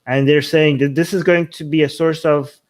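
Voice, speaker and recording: A man's voice: fairly high pitch, fairly even intonation, moderate pace. A man from Iran, a native Persian speaker, gives casual political commentary as a host, rambling and often rephrasing himself. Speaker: talk show host.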